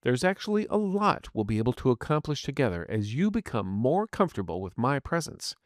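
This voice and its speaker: A man's voice: mid-range voice, big pitch swings, fairly quick, no echo, clean studio sound, lightly acted. A man voicing a polite, earnest artificial intelligence medical assistant in an audio story, speaking formally and courteously. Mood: reassuring. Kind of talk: scripted audiobook narration in character.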